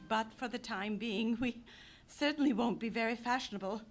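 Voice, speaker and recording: A woman's voice: fairly high pitch, natural voice. An actress in a live radio play playing an impassioned young pacifist Englishwoman who speaks in declamatory bursts. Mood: somber. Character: passionate pacifist young Englishwoman, fiery idealist.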